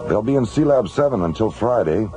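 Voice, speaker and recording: An actor playing a space commissioner in a radio drama, speaking in a lively, conversational way. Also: male voice, fairly low pitch, a little fast, lightly acted, low-quality recording. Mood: genial. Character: male space commissioner, genial yet wary.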